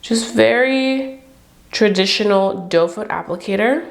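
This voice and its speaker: A woman's voice: mid-range voice, a little slow, creaky. A young woman reviewing beauty products to camera in a chatty, upbeat way, her voice stuffy and congested from a cold. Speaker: beauty vlogger.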